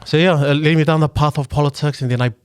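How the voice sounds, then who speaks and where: A young man from New Zealand, a guest on a podcast, talking earnestly and reflectively with frequent pauses and restarts. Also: fairly high pitch, steady tone, clear, resonant tone, no room sound, studio quality. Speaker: guest, local politician and engineer. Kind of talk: casual podcast conversation.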